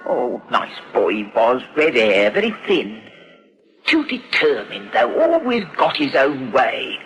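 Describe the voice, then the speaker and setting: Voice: mid-range voice, big pitch swings, slow, husky, dull audio, soft background music, full character voice. Crazed old recluse, wildly swooping voice. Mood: eerie, brooding. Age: older. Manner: rambling, muttering, eerie, broken phrases. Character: crazed, embittered old recluse haunting a derelict house. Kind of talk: dramatised horror story acting.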